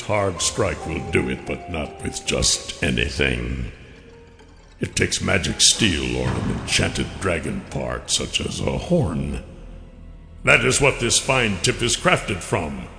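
A man voicing a huge horned dragon warlord, a coldly menacing villain who coaxes with slow, mock-polite deliberation in a fantasy audiobook. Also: low voice, big pitch swings, gravelly, bright, forceful tone, roomy sound, long reverb tail, soft background music, pitched below the performer's own voice, heavily acted. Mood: coldly instructive.

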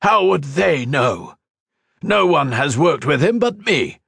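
A man's voice: mid-range voice, lively intonation, takes it slowly, creaky, a bit bright, slightly rough, no echo, clean studio sound, pitched above the performer's own voice, exaggerated voice. A man doing a desperate pleading scientist. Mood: outraged. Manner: pleading, strained, rising to outbursts. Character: anguished male scientist pleading for his creation.

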